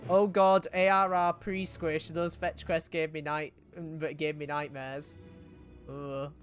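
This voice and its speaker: A woman's voice: low voice, level tone, slow, soft background music. A British woman streaming a game live, chatting casually, swearing and reacting to her chat. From United Kingdom.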